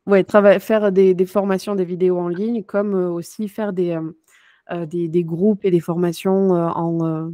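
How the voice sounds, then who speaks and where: A woman with a mellow, relaxed voice speaks casually to an online group. She is chatty and self-deprecating, and the sound is dry and close. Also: on the low side, moderate pace, no room sound. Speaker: fortune-teller and trainer introducing herself. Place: online video call.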